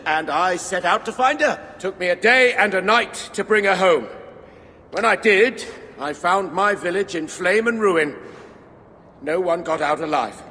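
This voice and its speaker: An actor playing a friendly, plain-hearted dwarf animal trader in a video game, with a folksy storytelling manner. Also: male voice, sits high, sharp tone, exaggerated voice. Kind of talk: video game cutscene acting. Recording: long hall reverb.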